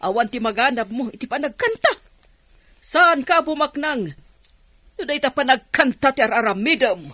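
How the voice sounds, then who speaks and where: A woman's voice: high voice, very animated, moderate pace, sharp tone, heavily acted. A woman with an Ilocano Filipino accent playing a strict, nagging, worried mother in a radio drama. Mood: scolding.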